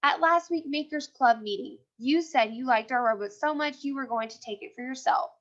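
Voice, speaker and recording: A woman's voice: high-pitched, normal speed, muffled recording. A woman voicing a bold, stubborn, indignant schoolboy in a children's storybook, insistent and confrontational. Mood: accusing.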